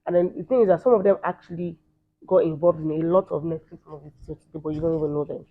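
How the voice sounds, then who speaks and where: A Nigerian man in the entertainment field giving quick, emphatic opinions in a casual interview. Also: a little high, fairly even intonation. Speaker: guest, entertainer. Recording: thin phone-like sound.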